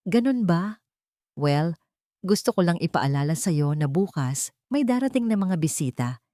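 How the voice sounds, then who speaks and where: A woman's voice: lowish voice, sing-song, a little slow, dark tone, no room sound, studio quality. A woman voicing a cold, suspicious rich girlfriend in an audio drama, sweet but cutting and slipping into English. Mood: veiled threat.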